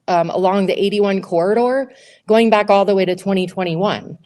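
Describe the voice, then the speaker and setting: A woman with a loud, carrying voice speaks at a public meeting in a school auditorium. She works through prepared questions one by one in an orderly, steady way. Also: mid-range voice. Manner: loud, clear, methodical questions. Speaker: state senate candidate.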